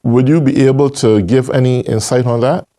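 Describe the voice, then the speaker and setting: A man's voice: mid-range voice, fairly even intonation, normal speed, mellow. Male talk-show host, mid-range voice, friendly interviewer. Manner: friendly, with short affirmations. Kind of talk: hosting an interview.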